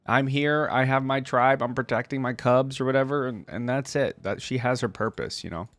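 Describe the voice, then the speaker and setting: A man's voice: medium pitch, moderate pace, fairly bright tone, no room sound. A man hosting a reaction video, giving casual, chatty, easily amused commentary on game voice lines.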